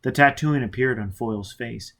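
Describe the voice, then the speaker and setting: A man's voice: medium pitch, normal speed, fairly bright tone. A man reading a novel aloud as dramatic scripted narration. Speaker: audiobook reader.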